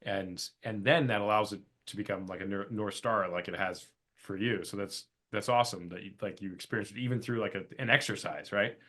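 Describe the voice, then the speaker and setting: A man's voice: on the low side, brisk, pushed and bright. A man hosting an interview, affirming his guest and thinking aloud as he asks questions. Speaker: podcast host and coach. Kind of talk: casual interview conversation.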